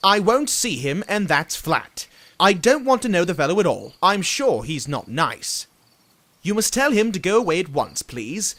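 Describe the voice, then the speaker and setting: Brief: actor playing a gentle, fussy dragon, prim and fretful, very lively pitch. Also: moderate pace.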